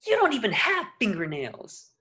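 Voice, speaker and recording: An excitable young male cartoon sponge, acted by a woman, reads with a very lively, swinging pitch. He is earnest and eager, throwing out each line like an exclamation. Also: normal speed, heavily acted. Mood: indignant. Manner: eager, exclamatory, indignant when teased. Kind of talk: cartoon script table reading.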